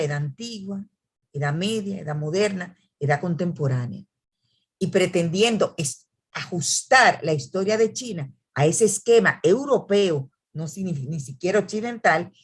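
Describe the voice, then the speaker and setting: A woman author presenting a book at an academic event, speaking in a hesitant way with many fillers and restarts. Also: medium pitch.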